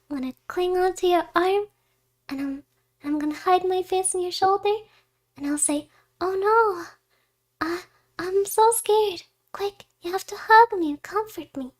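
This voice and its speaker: A woman acts out a doting young wife in a romantic ASMR roleplay. Her voice is very high and cutesy, and she takes her words slowly. She sounds shy and affectionate, with a hesitant, intimate delivery. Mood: mock frightened. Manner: cutesy, hesitant, giggly, drifting into whispers.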